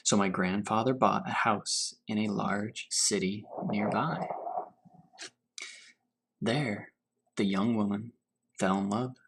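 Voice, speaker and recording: A man reading a storybook aloud as a teacher for children, pausing to put questions to his young listeners. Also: on the low side, vocal fry, heavy background noise. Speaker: teacher reading to fourth graders.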